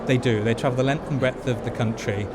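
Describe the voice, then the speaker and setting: A man's voice: medium pitch, fairly even intonation, quick pace. A man giving earnest, explanatory interview answers at an event venue, stressing points by repeating them. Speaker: head of awards judging. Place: event venue before ceremony. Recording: noisy busy venue ambience.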